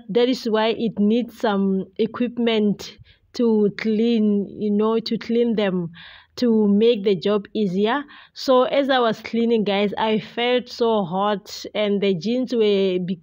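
Slow-talking woman in a casual vlog. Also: mid-range voice, darkish tone, muffled recording. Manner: hesitant, chatty, addressing viewers as guys. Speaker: vlogger, homemaker.

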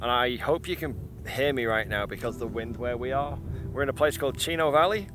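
A man's voice: mid-range voice, brisk. A man in his thirties chatting casually to the camera in a travel vlog, rambling a little, recorded outdoors in a windy open field on a close handheld camera mic. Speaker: vlogger. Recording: background music under the voice.

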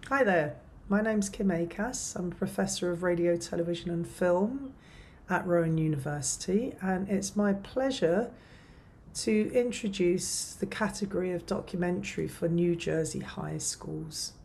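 Female academic presenter, fifties, measured slow reading, mid pitch, faint noise on webcam audio. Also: vocal fry, a little mellow. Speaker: professor, award presenter. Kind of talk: formal announcement of nominees.

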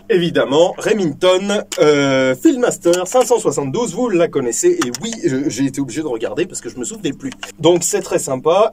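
A young man hosting a casual vlog from a small indoor shooting booth, joking, swearing freely and filling pauses with lots of ums. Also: fairly high pitch, darkish tone, a little hiss or hum. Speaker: firearms video host.

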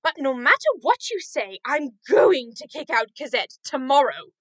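Actress doing a mean greedy innkeeper lady, high voice that swoops all over, bossy and irritable. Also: very animated, normal speed, fairly bright tone, no room sound. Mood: irritated. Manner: scolding, fawning toward paying guests. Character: harsh, greedy female innkeeper, irritable and bullying. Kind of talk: radio drama acting.

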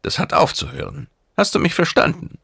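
Man voicing stern, imperious mentor, raised pitch. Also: huge pitch swings, fairly quick, vocal fry, fairly bright tone, husky, no room sound, clean studio sound, exaggerated voice. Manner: imperious, lecturing. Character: stern, demanding mentor and oracle, admonishing a pupil. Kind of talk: audiobook character acting.

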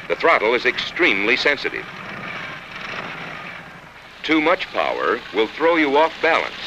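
An American man reading scripted instructional narration for a training film in a measured, instructive manner. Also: mid-range voice. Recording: 1960s film soundtrack, faint noise.

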